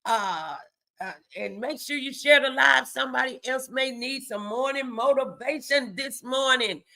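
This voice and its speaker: A woman's voice: high voice, a little slow, vocal fry, bright, forceful tone. A woman hosting a faith-based motivational livestream, speaking emphatically and repeating key phrases to exhort her listeners.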